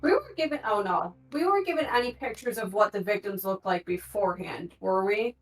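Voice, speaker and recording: A woman's voice: medium pitch, animated, brisk, recorded from a distance. A woman chatting casually as a player in a tabletop role-playing game. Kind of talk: casual chat. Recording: roomy, off-mic, faint music behind.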